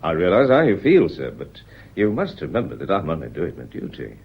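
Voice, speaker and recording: Low-pitched British police inspector, deferential, quietly persistent, lively intonation, radio drama, dull lo-fi sound. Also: male voice, gravelly, slightly rough, full character voice. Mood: measured, official. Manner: deferential, measured, unflappable, constantly courteous. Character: polite, quietly persistent male Scotland Yard police inspector. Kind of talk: British radio play acting.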